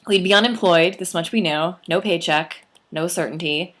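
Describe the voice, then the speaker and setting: A young adult woman, the author, reading her memoir aloud with lively, playful storytelling and expressive emphasis. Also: on the low side, moderate pace.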